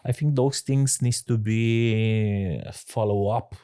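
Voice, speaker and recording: A man from Romania, a sommelier guest answering interview questions in halting English with many fillers and laughs. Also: on the low side, dark tone. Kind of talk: casual interview talk.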